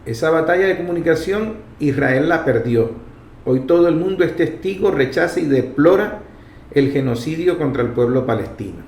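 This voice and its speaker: A man's voice: a little high, lively intonation, moderate pace, creaky, darkish tone. A Venezuelan man hosting a political commentary program, speaking in an emphatic, didactic way and repeating key phrases. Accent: Venezuelan Spanish. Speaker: radio program host and commentator. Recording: roomy echo, faint background noise.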